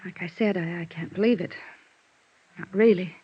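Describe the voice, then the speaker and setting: A woman playing a world-weary, bitter, guarded widow in a 1950s radio drama, speaking in wistful, halting confessions. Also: on the low side, a little hiss or hum, lightly acted. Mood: wistful, numb. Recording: dull, band-limited vintage radio recording.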